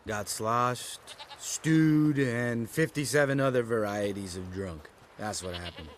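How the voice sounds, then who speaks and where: An actor playing a brash, sarcastic, goading monster hunter in a video game. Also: male voice, mid-range voice, slow pace, slight background noise, natural voice. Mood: drunken, rowdy. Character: brash, needling, drunken monster hunter, grown man.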